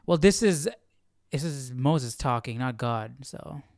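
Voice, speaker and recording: A man's voice: mid-range voice, lively intonation, takes it slowly, a little mellow, no echo, clean studio sound. A man hosting a casual Bible study stream, thinking aloud in a questioning way and often repeating and rephrasing himself as he reasons. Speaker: livestream Bible study host.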